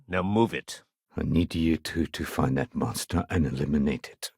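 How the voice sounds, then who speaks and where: An actor playing a wry, world-weary, businesslike security sergeant in a sci-fi video game, with a dry conversational delivery. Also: male voice, very deep voice, normal speed, a little mellow, slightly rough, no echo, exaggerated voice. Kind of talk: acted video game dialogue.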